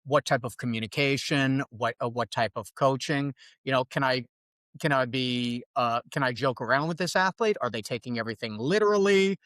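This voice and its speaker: A man's voice: medium pitch, moderate pace, no echo. New York man, dry close sound. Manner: thoughtful, restarts often, animated. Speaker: podcast guest, fitness coach. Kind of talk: casual conversational interview.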